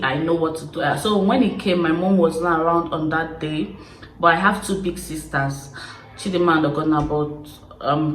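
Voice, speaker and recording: A young woman from Nigeria with an Igbo accent, casually telling stories to camera in an expressive, chatty way. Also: on the low side, reverberant, a little hiss or hum, rough recording. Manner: chatty, expressive, laughing storyteller. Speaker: YouTuber. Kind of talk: casual confessional chat to camera.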